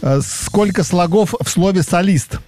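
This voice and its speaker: A male radio host with a somewhat raised voice, firing off quiz questions in a brisk, matter-of-fact way. Between rounds he slides into chatty, joking asides, with faint music beneath. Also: high voice, warm tone. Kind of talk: casual radio hosting, reading quiz questions.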